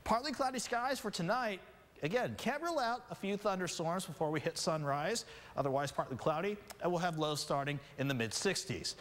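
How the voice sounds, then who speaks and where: A man's voice: high voice, expressive, fairly quick, fairly bright tone, long reverb tail. A man presenting a conversational television weather forecast as the station's meteorologist. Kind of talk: broadcast weather report, conversational ad-libbed presenting. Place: television studio.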